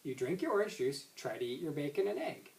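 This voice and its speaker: A man voicing a gentle, patient mother from a children's story, chiding and coaxing. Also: fairly high pitch, big pitch swings, brisk, creaky. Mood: mildly chiding. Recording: roomy echo, distant microphone.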